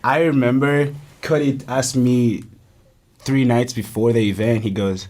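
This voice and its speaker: Young man from Uganda, podcast guest, earnest and animated, mid-pitched, restarts a lot. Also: a little slow. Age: young adult. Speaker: college soccer player, guest. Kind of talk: casual podcast conversation.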